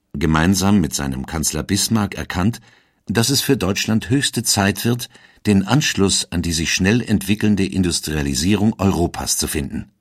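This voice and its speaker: A man narrating a scripted historical documentary in a measured storytelling manner. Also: deep voice, no echo, studio quality.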